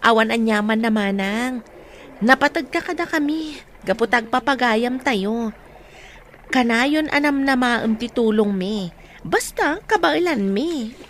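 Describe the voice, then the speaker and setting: A woman's voice: on the high side, animated, a little slow, a bit bright, a little hiss or hum. A woman with an Ilocano Filipino accent playing a caring, encouraging friend in a radio drama. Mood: cheerful, caring.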